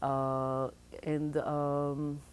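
A woman's voice: low-pitched, level tone, extremely slow, slight background noise. A middle-aged Israeli woman with an Israeli accent, explaining as a guest in a TV studio interview, with many thoughtful hesitations.

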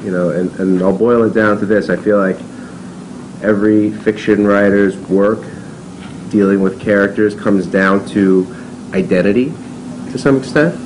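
Low-voiced man in his thirties, relaxed panelist at a table mic. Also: normal speed, background noise. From born in Taiwan, raised on Long Island. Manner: conversational, lots of "you know" and "um". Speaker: fiction writer, panelist. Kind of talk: casual panel talk. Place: seminar room.

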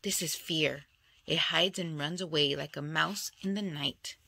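Bright-voiced woman reading a picture book. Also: low voice, a little slow, creaky, no room sound. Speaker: storybook reader. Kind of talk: expressive children's picture-book read-aloud.

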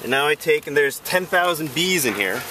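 Casual first-timer, male, a touch higher voice, outdoor camera audio from a few steps away with buzzing bees. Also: expressive, normal speed, far from the mic, background noise. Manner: hesitant, unsure, self-deprecating. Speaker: first-time beekeeper. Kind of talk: casual how-to narration while working. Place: outdoors in a leafy yard.

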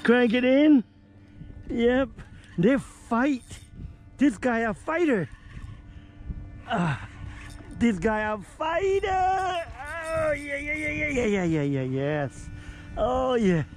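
Excitable man fishing from a small boat. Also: very high-pitched, takes it slowly, pauses often, soft background music. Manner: excitable, whoops and laughs at each catch. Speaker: fishing vlogger. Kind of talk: excited casual chat while fishing. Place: small boat on open water outdoors. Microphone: action camera on the boat.

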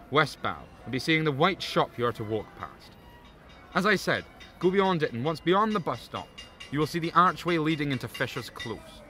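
A man's voice: on the high side, pushed and bright. A man playing a grim ghostly tour guide, narrating in a sinister, theatrical storytelling style. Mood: beckoning. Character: grim ghostly guide to old Edinburgh's bloody past.

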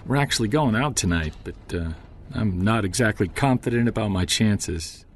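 A man's voice: fairly low pitch, expressive, normal speed, slight background noise. An actor playing a weary, stressed male investigator confiding in an audio log, hesitant and downbeat, as in an audio drama. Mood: weary, troubled. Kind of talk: audio drama, confessional narration.

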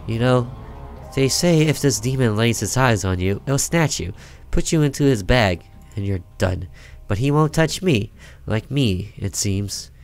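A man's voice: mid-range voice, lively intonation, measured pace, faint music behind. A man voicing an eerie old village woman, telling an ominous warning emphatically in a horror game. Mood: boastful, eerie.

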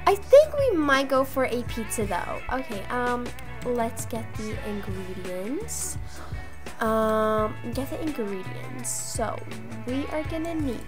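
A young woman with a high, girlish voice chats her way through roleplay gameplay as a video creator. Soft music plays behind her voice. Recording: voice over background music.

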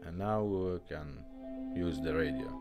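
Low-voiced man demoing a product casually. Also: unhurried, over loud music. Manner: informal, non-native phrasing. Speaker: presenter demonstrating restored car radios.

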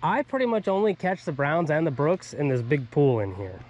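A man's voice: on the high side, sing-song, normal speed, a little mellow, lo-fi sound. A man in his thirties chatting casually for an outdoor fishing vlog, laid-back and given to swearing. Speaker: vlog host, angler. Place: outdoors by river, woods and pond. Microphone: action camera on body or at arm's length. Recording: outdoor action-camera sound with faint ambient noise.

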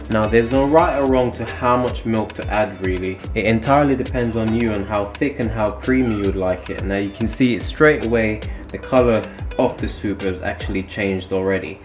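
A man walking viewers through a home cooking demonstration in a relaxed, explaining manner. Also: on the low side. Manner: relaxed, explaining each step. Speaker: home cook, family vlogger. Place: home kitchen. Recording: echoey room with background music.

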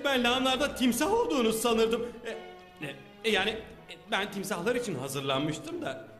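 A goofy bouncy blue superhero. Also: male voice, very high-pitched, lively intonation, moderate pace, a bit bright. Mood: cheerful. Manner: bouncy, jokey, giggly. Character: big blue male superhero, goofy and overconfident. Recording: echoey reverb with music.

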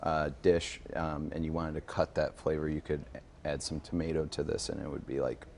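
A man's voice: extremely low pitch, little pitch movement, moderate pace, vocal fry, a little mellow. A man in his thirties, a guest in a TV studio, explaining things informally like a sommelier and often checking with a "right?". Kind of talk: casual live TV wine demonstration. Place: TV news studio.

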